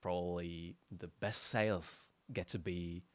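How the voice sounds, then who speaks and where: A man, chatting casually on a podcast in halting, restarting English. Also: low-pitched, steady tone, dry recording. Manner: halting, restarts often, non-native phrasing. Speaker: podcast co-host. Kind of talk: casual chat.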